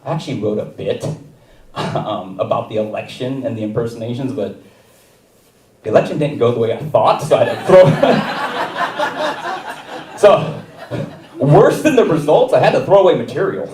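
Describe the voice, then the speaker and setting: A man performing a stand-up comedy set, with a wry, deadpan delivery and chuckles between jokes. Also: medium pitch, moderate pace, pauses often, distant mic. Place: comedy theater stage. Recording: roomy live stage mic from afar.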